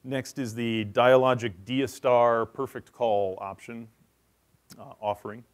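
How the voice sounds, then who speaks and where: A man's voice: mid-range voice, steady tone, unhurried, clean tone. A man presenting a technical session at a conference, explaining in a matter-of-fact way.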